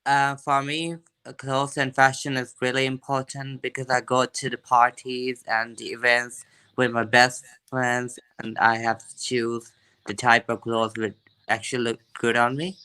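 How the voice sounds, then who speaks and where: A man's voice: mid-range voice, completely flat delivery, a little slow, sharp tone, clear, resonant tone, rough recording. A young man from Pakistan answering practice speaking-test questions hesitantly, with many fillers, over an online video call.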